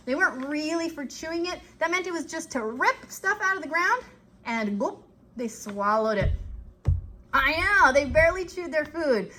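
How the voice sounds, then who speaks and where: An animated woman hosting an informal live lesson for children, chatty and often checking in with questions. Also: high-pitched, moderate pace, a little hiss or hum. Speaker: children's educational show host.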